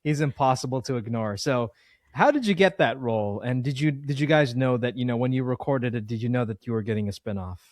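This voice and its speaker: A man's voice: medium pitch, a little fast, dry recording, studio quality. A man hosting a casual interview, talking in a rambling, jokey way and often repeating his words. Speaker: interview host.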